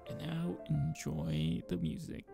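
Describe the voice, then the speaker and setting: A man reading a visual novel aloud and commenting casually as a channel host, informal and halting, with a gravelly, husky voice. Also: on the high side, sing-song, music in the background.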